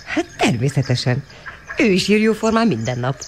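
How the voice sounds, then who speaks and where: Young schoolboy for radio drama, sincere and a little halting, expressive melody, clearly noisy tape, birds behind. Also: female voice, lowish voice. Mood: uneasy. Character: earnest, devoted schoolboy troubled about his mother.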